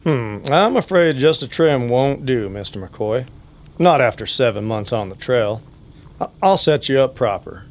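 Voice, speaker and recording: Male actor as frontier barber, medium pitch, hesitant, uneasy, confiding, Western audio drama. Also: expressive. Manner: hesitant, halting, gossiping confidingly. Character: hesitant, worried small-town barber in the Old West. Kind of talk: old-time Western radio drama acting.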